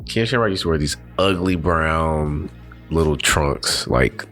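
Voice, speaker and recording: A man in his thirties co-hosting a casual combat sports chat, laid-back and quick to agree with short replies. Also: extremely low pitch, lively intonation, fairly bright tone, faint music behind. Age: 30s. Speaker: co-host of a combat sports show.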